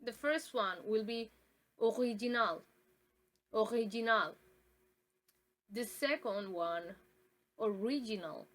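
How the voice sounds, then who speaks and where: Halting young woman presenting a lesson. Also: mid-range voice. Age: young adult. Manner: halting, with many ums and uhs and restarts. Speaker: student presenter. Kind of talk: classroom presentation on pronunciation.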